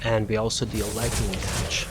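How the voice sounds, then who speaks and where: Low-voiced man giving casual game commentary. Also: little pitch movement, moderate pace, heavy background noise. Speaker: gamer presenting a character build guide. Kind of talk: casual gameplay guide commentary.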